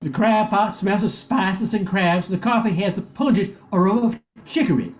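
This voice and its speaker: A man's voice: sits high, lively intonation, normal speed, a little hiss or hum. A man giving scripted narration as the narrator of a radio play. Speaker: professor and narrator.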